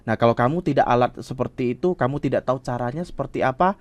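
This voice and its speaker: Animated man with a Malay-tinged accent. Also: mid-range voice, quick pace, no echo. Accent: Malay-tinged Sumatran Indonesian. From Riau Islands, Indonesia. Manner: explanatory, animated, acts out quoted speech. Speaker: communication coach. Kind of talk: podcast interview answers.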